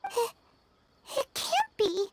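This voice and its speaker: A woman voicing a tiny, childlike companion creature in a video game, chatty, well-meaning and expressive, with a squeaky voice. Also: takes it slowly, full character voice. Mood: concerned.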